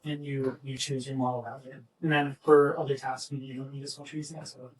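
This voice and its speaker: Distant recording, man, flat delivery, casual follow-up questions, live chat event. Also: mid-range voice, brisk. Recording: distant mic, poor event audio.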